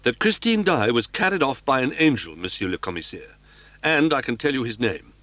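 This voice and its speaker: A man doing a frantic young nobleman, stammering, breathless pleading, swinging pitch, dry close sound. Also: big pitch swings, fairly quick, pushed and bright, no room sound. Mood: earnest. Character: anxious young male nobleman, urgent and stammering.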